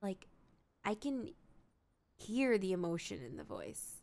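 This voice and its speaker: A woman's voice: mid-range voice, very animated, slow, creaky, darkish tone. A woman streaming a game, reacting casually and expressively in informal speech. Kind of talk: casual live reaction commentary.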